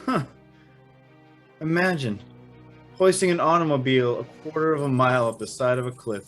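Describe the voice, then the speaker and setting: A man's voice: medium pitch, normal speed, soft background music, close to an everyday voice. An actor playing a naive young man in an old-time radio drama, full of wonder and hesitant questions. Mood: startled. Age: young adult. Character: naive, awestruck young male guest and narrator.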